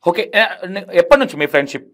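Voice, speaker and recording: Fast-talking male interview host. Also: sits high, very animated, a bit bright. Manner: teasing, prodding. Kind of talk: casual phone interview, probing questions.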